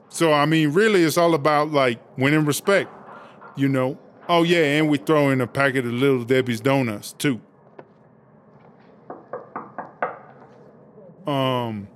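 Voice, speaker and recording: A man's voice: medium pitch, a little hiss or hum, close to an everyday voice. A man voicing a brash, boastful female inmate boss in a comedy audio drama, telling rambling, slangy, profane stories. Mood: cocky.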